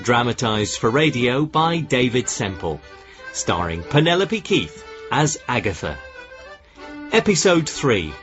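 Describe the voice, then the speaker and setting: Animated male radio announcer over music. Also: mid-range voice, a little slow. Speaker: continuity announcer. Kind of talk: scripted broadcast credits reading. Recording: dull sound, music behind.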